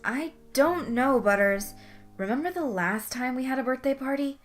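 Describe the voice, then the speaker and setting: A woman's voice: sits high, animated, moderate pace, faint music behind, voice pushed up. A woman voicing a cautious, doubtful male sales rep in an audiobook, hesitant and questioning. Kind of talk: audiobook character voice.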